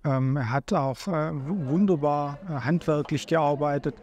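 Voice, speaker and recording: A man, a food journalist, speaking haltingly and searching for words in a documentary interview. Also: on the high side, a little mellow.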